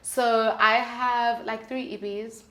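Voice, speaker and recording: A woman's voice: on the high side, flat delivery, takes it slowly, sharp tone. A woman talking candidly and earnestly as a guest in a conversational interview. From South Africa. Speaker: singer-songwriter, interview guest.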